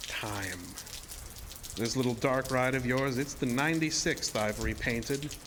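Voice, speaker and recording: A man's voice: mid-range voice, measured pace, background noise, lightly acted. A man playing a sinister, seductive illusionist showman in a horror audio drama, speaking in a theatrical, formal and insinuating way. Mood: persuasive. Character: sinister, seductive showman-illusionist, deceptively ageless male villain.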